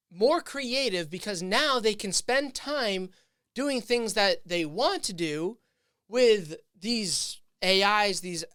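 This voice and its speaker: Rambling podcast host, smooth close voice. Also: medium pitch, fairly bright tone, no echo. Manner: rambling, speculative, many fillers and restarts. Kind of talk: casual podcast chat.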